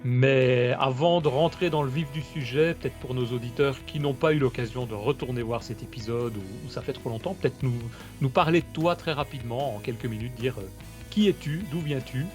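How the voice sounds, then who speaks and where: Guy hosting a podcast on a call, chatty, keeps restarting his sentences, average pitch. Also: brisk, over music. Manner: chatty, loose, with friendly restarts. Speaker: podcast host. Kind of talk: casual podcast interview. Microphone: video call.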